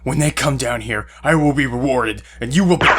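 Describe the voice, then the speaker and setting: A man voicing a defiant, fanatical street thug in an audio drama, with a forceful, agitated delivery. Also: medium pitch, brisk, noisy background, voice pushed up, close to an everyday voice. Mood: fervent defiance.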